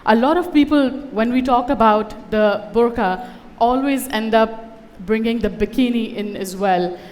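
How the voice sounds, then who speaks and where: Woman giving a witty talk on stage, kinda high voice, long hall echo. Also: a little slow, a little mellow. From Dubai. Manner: witty and conversational, with wry asides. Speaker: conference speaker. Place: conference stage before an audience.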